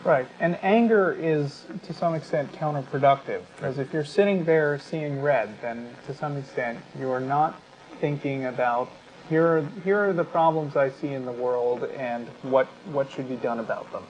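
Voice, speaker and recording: A man co-hosting a talk show, explaining his points in a measured, analytical, conversational way. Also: medium pitch, a little mellow. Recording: slightly dull audio with faint noise.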